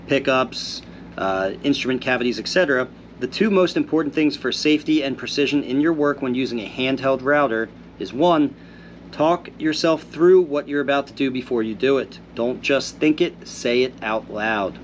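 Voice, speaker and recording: Host, male, mid-pitched, a bit phlegmy, relaxed Q&A delivery, faint hum behind. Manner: reads viewer comments aloud and replies in an easygoing, thoughtful way. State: congested after illness. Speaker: host answering viewer questions. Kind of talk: casual Q&A, reading out comments.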